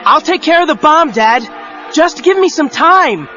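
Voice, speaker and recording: An eager teenage boy hero in audio drama. He calls out urgently in a very high, forceful voice, on a slightly muffled cassette recording. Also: animated, unhurried, soft background music, full character voice. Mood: urgent, determined. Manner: urgent, calling out. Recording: dull 1990s cassette audio.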